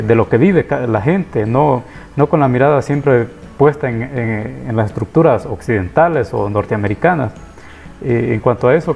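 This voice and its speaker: Man from Honduras, mid-pitched voice, halting reflective talk, faint music behind. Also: gravelly, highs cut off. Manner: hesitant, frequent restarts and fillers. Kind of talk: reflective spoken course presentation.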